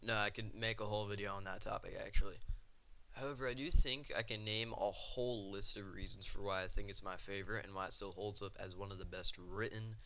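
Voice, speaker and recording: A man delivers casual video commentary at a brisk clip. His voice is bright and crisp, and he keeps to a fairly even pitch. His phrasing is informal, with the odd stumble. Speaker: video essay host.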